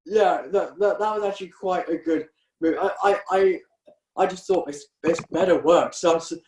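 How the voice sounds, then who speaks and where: A man's voice: sits high, moderate pace, a little mellow. Hesitant man in an echoey room. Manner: hesitant, many fillers and restarts. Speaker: rugby scrum half. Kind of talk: casual podcast chat.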